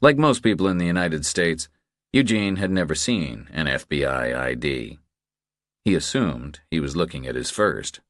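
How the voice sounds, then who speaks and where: A man's voice: rumbling low, animated, moderate pace, a bit bright, no room sound, studio quality. A man reading scripted thriller narration as an audiobook narrator.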